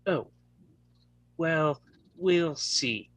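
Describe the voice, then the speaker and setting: A man playing a calm, controlled young mafia boss in a script read, halting and stuttering heavily on consonants. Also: on the high side, no echo, full character voice. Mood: placating. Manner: halting, deliberate. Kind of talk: live table read of a crime film script.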